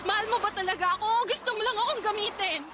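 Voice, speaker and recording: A woman's voice: extremely high pitch, fast. A woman acting a hurt, indignant, pregnant young lover in an emotional Tagalog radio drama. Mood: pleading, upset. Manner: emotional, pleading. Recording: clearly noisy background ambience.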